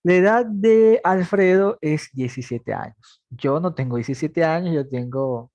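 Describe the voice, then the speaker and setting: A man's voice: a little high, unhurried, darkish tone, dry recording. A man in his twenties teaching a math logic class, patiently asking students questions and then answering them himself. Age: 20s. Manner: repeats points, talks plainly to students. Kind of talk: online class lecture.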